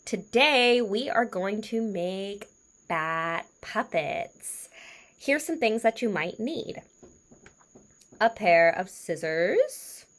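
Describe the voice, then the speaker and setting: A woman hosting a puppet craft tutorial, talking in simple, deliberate phrases as if for a young audience. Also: mid-range voice, lively intonation. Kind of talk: instructional craft tutorial talk.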